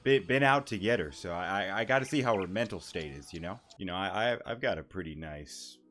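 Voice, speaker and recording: A man giving casual let's-play commentary, rambling and halting, with a joking manner. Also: sits low, expressive, fairly bright tone, a little hiss or hum. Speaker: gaming YouTuber. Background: game music.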